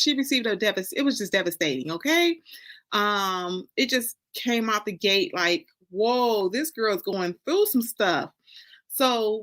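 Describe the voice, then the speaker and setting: American woman, bright crisp-edged voice, mid pitch, casual host chat, emphatic, repeats phrases. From Detroit, United States. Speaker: host and author.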